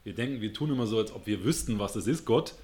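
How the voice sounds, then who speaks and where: A man's voice: lowish voice, animated, quick pace, a little mellow. A man with a northern German accent, answering interview questions in an earnest, reflective way and searching for words as he goes. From Hamburg, northern Germany. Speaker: interview guest.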